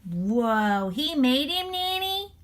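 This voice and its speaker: A woman's voice: high voice, very animated, slow pace, voice pushed up, exaggerated voice. A woman voicing a childlike stuffed toy dog who is earnest and wide-eyed in a children's story. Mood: curious, admiring. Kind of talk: character reacting to a children's story.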